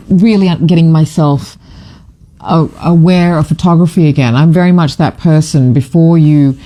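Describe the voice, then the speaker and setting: A middle-aged photographer from Fiji gives a rambling, gushing live commentary to camera. The voice has a warm, relaxed timbre at a middling pitch. The talk drifts between asides, fillers and restarts. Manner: gushing, enthusiastic. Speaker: photographer and author presenting a book.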